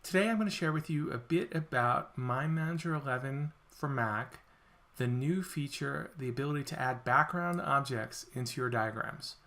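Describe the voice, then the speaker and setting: A man walking through a software feature in a screen-recorded demo, explaining step by step, his voice a little worn as he clears his throat. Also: mid-range voice, moderate pace. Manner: relaxed explanatory walkthrough with self-corrections. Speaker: software product presenter.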